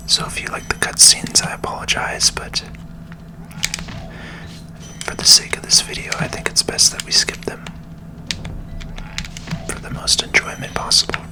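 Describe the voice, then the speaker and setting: Soft whispering ASMR gamer. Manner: slow, calming. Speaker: video game streamer. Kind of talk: ASMR gaming commentary.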